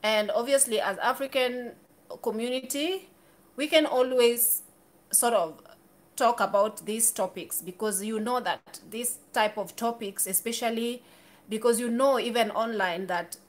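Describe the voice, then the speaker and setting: A woman's voice: fairly high pitch, unhurried. A woman in her thirties talking candidly to camera in a small room, chatty, with restarts and asides. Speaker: YouTuber. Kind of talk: casual talk to camera.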